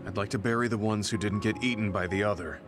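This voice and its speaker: A man's voice: lowish voice, steady tone, fast talker, over music, natural voice. A man voicing a stern grizzled soldier. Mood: serious. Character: stern grizzled male soldier, earnest and blunt. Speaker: game character. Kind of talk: game cutscene voice acting.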